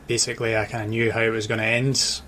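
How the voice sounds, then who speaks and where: A man with a mixed Scottish accent from Dundee, Glasgow and Edinburgh, chatting thoughtfully and haltingly as a radio interview guest. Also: fairly low pitch, monotone, bright, forceful tone, low-quality recording. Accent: Scottish, mix of Dundee, Glasgow and Edinburgh. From Dundee, Scotland. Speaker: interview guest, author and sports journalist. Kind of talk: casual radio interview chat. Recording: clear background noise.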